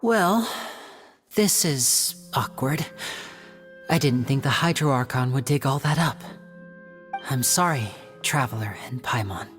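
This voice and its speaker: Suave young man, a stage magician in a game: low, polite, earnest, music underneath. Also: animated, unhurried. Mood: apologetic. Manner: soft-spoken, polite, earnest. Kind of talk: video game voice acting.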